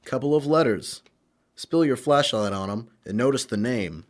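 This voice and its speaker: A man's voice: medium pitch, animated, moderate pace, lightly acted. A man playing a confident masked vigilante in an old-time radio crime drama, clipped, sly and commanding.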